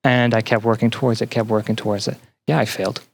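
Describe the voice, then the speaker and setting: A young man answering as a podcast guest in an earnest, conversational way, haltingly repeating phrases as he thinks. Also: medium pitch, fairly even intonation, vocal fry. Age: young adult. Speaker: podcast guest, student and teaching assistant.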